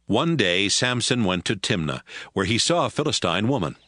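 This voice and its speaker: A man narrating a Bible story in a steady, storytelling read. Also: on the low side, normal speed, no echo, studio quality. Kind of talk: scripted scripture narration.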